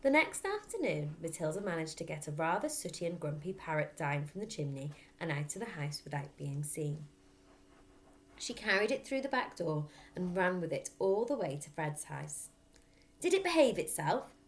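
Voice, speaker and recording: A woman reading a children's story aloud like a teacher, in expressive narration. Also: low-pitched, normal speed.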